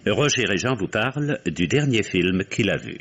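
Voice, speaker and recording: A man's voice: lowish voice, lively intonation, normal speed, breathy edge. A man formally and dramatically announcing the credits of a vintage radio drama. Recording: dull vintage radio, loud music. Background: loud music.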